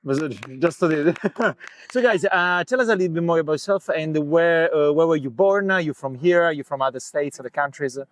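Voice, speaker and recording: Joking, halting male interviewer. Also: on the high side, no room sound. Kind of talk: playful interview questions.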